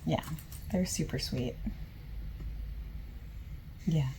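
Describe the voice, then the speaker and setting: Slow, soft-spoken woman in gentle ASMR roleplay. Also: deep voice, gravelly, a little hiss or hum, rough recording. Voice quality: soft, often dropping into whispery breathiness. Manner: gentle, unhurried, reassuring customer-service talk. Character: friendly, patient used bookstore clerk. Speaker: ASMR roleplayer as used bookstore clerk.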